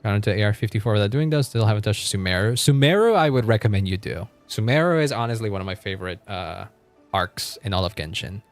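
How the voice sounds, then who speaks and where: A man's voice: fairly low pitch, lively intonation, fast, soft background music. A man livestreaming a game, chatting casually with viewers in a rambling, informal way with some mild swearing.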